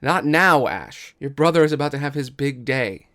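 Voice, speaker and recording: A man's voice: mid-range voice, animated, normal speed, bright, forceful tone. A man voicing a proud, stern father and former royal guard in a table read, with emphatic delivery. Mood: brusque, excited. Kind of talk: table read of a musical script.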